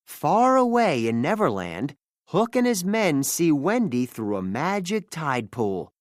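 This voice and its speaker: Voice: medium pitch, sing-song, measured pace, no echo, clean studio sound. A storybook narrator reading a scripted children's tale expressively, acting out the dialogue.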